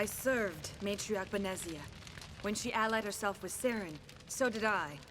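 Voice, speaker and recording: An actress playing an earnest, subdued alien woman in a video game, speaking in a measured, formal, solemn way. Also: mid-range voice, fairly bright tone, a little hiss or hum, lightly acted.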